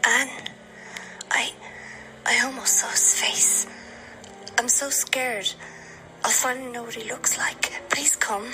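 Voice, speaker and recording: A woman playing a terrified daughter in an audio drama, leaving desperate, breathy, tearful whispered phone messages. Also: a little high, fairly even intonation, slow, pauses often, full character voice, poor audio. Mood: terrified, pleading. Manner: breathy whispering breaking into sobs. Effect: voicemail played on a phone loudspeaker.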